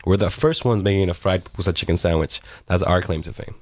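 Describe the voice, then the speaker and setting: A very low-voiced man talks fast and with enthusiasm, proud of what his bar does. He is a guest in a casual podcast chat. The sound is close and dry. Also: no echo. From United States.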